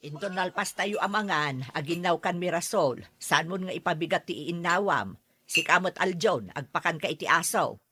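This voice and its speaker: A woman's voice: fairly low pitch, expressive, moderate pace, noisy background, lightly acted. A woman playing a firm, practical, scolding mother in an Ilocano radio drama. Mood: brisk.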